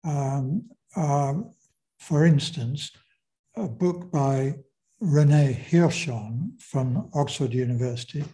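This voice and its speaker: A man giving a lecture, answering audience questions in a hesitant, measured way with many fillers. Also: medium pitch, dark tone, poor audio. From Britain.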